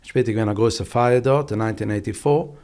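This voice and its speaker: A man in his forties from England, a podcast guest telling his story in a calm, unhurried way. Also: fairly low pitch. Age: 40s. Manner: calm, unhurried, mixes languages freely. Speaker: podcast guest, business leader. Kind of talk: relaxed interview storytelling.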